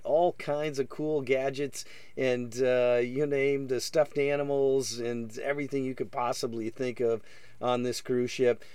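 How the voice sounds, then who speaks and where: A man's voice: fairly high pitch, darkish tone, clear, resonant tone, no room sound. A man hosting a travel vlog, narrating a cruise ship tour in an upbeat, salesy way with many filler words.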